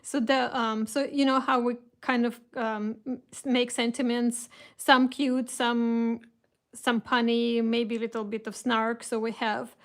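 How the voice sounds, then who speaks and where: Woman, Russian accent, fairly high voice, chatty live-stream host, lots of fillers and restarts. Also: level tone, a little slow. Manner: chatty, greets viewers by name, many fillers and restarts. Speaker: craft shop owner hosting a live kit reveal. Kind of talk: casual live-stream chat.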